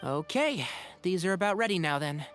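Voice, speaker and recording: A man playing a gentle, sensible young ranger in a video game, mild and dryly teasing. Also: sits high, expressive, moderate pace, faint music behind. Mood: considerate.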